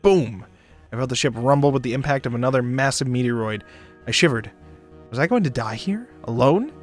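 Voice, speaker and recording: Expressive man reading a story aloud over music. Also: medium pitch, brisk. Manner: expressive, stumbles and rereads lines. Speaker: streamer reading a visual novel aloud. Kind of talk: dramatic narration reading with joking asides. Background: music behind the voice.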